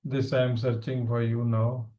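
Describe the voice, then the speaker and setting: A man's voice: medium pitch, little pitch movement, moderate pace, mellow. Indian man teaching, echoey room. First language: Punjabi. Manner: explanatory, asks students questions, mixes Hindi and English. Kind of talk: online class lecture.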